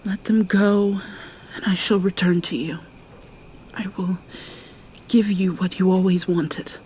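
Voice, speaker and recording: A woman voicing a gentle young mermaid in an ASMR roleplay, speaking softly and hesitantly, often in a whisper. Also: fairly low pitch, steady tone, a little slow, a little hiss or hum, natural voice. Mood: defiant.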